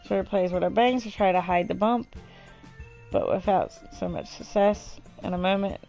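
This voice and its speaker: Woman narrating softly over faint music. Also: mid-range voice, steady tone, normal speed, gravelly. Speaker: narrator and reader. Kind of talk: hushed scripted visual novel narration.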